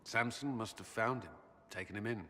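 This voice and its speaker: An actor playing a grave, duty-bound military commander in a video game, earnest, measured and formal. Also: male voice, on the low side, pushed and bright. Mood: somber. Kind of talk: dramatic video game cutscene acting. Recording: hall reverb with background noise.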